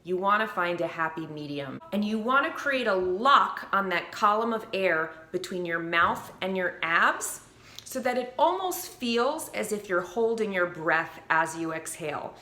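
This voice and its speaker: Bright-voiced woman coaching singing. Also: mid-range voice, lively intonation, a little slow, roomy sound. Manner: clear, upbeat teaching with sung demonstrations. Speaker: vocal coach. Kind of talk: instructional video.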